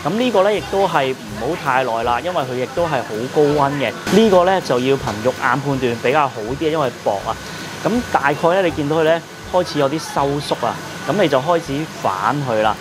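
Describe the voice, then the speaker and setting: A man's voice: a little high, lively intonation, fast, noisy background. A middle-aged man with a Hong Kong Cantonese accent, giving a chatty, explanatory food review in a casual vlog style. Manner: chatty, explanatory, frequent sentence particles. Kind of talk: casual restaurant review narration.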